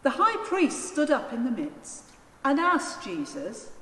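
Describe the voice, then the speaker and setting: A woman narrating a dramatised scripture reading in a solemn, deliberate manner. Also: sits high, huge pitch swings, a bit bright. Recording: echoey church, long reverb, faint noise.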